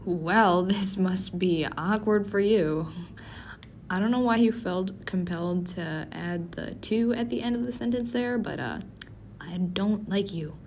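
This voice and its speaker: A man's voice: unhurried, vocal fry. A man playing a prickly, flustered office worker who hides a crush, stammering and grumbling defensively in a tsundere roleplay addressed to the listener. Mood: flustered, denying. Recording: faint rumbling office and city background noise.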